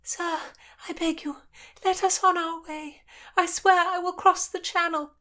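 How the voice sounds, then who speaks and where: A woman's voice: flat delivery, a little slow, pushed and bright, full character voice. A woman voicing a desperate young woman in disguise who begs a soldier to let her sail into exile. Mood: pleading. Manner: high, beseeching, often whispered.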